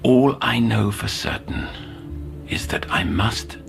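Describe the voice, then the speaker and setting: An actor playing an earnest, brooding middle-aged male doctor in an audio drama, speaking formally and haltingly in erudite language. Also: fairly low pitch, creaky, music in the background, exaggerated voice. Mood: brooding, intrigued. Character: earnest, scholarly, brooding male doctor haunted by past failures.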